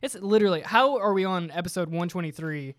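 Man, high-pitched voice, podcast host, casual comedic chat, teasing profane banter. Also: fast talker.

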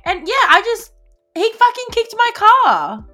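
A young woman with an Australian accent, co-hosting a podcast and telling a story in casual, animated chat full of fillers and swearing. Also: extremely high pitch, measured pace. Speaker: podcast co-host.

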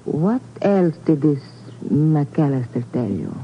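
Low sultry dancer, muffled radio. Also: female voice, big pitch swings, slow pace, full character voice. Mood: guarded, cool. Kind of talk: 1950s radio drama acting. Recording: muffled, noisy 1950s radio recording.

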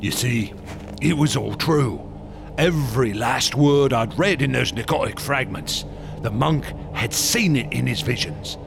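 An actor playing an obsessed, boastful explorer in a radio horror drama, theatrical and intense as he swings between hushed awe and eager bursts. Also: medium pitch, expressive, a little slow, vocal fry, background noise. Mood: awed, haunted. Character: obsessed, boastful explorer man recounting a dark expedition, feverishly excitable.

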